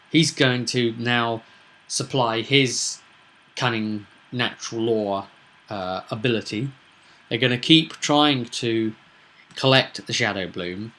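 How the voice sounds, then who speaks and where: A man talks through a tabletop roleplaying game as its host. His voice sits at an ordinary middle pitch. He explains things casually and conversationally, wandering into asides addressed to the listener. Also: sharp tone.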